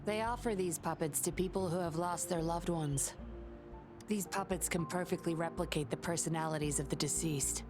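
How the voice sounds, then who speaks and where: A woman playing a composed, sly undercover patroller in a video game, coolly confident and measured. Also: lowish voice, close to an everyday voice. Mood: serious, cool. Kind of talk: scripted video game voice acting. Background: game music.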